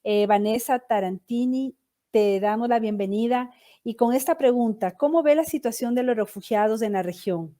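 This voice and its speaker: A woman's voice: fairly high pitch, normal speed, dark tone. A woman moderating an online panel, introducing speakers with frequent hesitations. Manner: hesitant, with many "eh" fillers.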